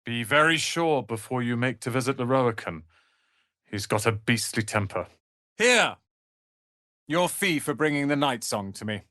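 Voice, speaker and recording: Haughty young wizard apprentice for a fantasy game, prickly n clipped, theatrical, really dry recording. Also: male voice, mid-range voice, expressive, measured pace, sharp tone, no echo. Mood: brisk, disdainful. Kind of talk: dramatic fantasy video game voice acting.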